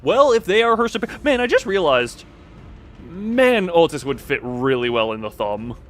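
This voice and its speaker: A man's voice: fairly high pitch, sing-song, moderate pace, soft background music. A man streaming a story game, reading dialogue aloud and joking in a self-mocking way. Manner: jokey, self-mocking, breaks into laughter.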